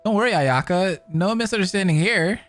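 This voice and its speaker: A woman's voice: medium pitch, lively intonation, fairly quick. A woman giving casual reaction commentary as she plays through a video game. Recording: faint game music behind.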